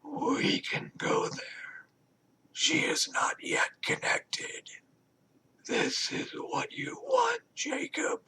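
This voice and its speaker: A man voicing a sinister, coaxing alien hive mind in a horror story, with a breathy whisper and slow, eerie, drawn-out words. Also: extremely high pitch, wildly swooping pitch, sharp tone, pitched above the performer's own voice, full character voice.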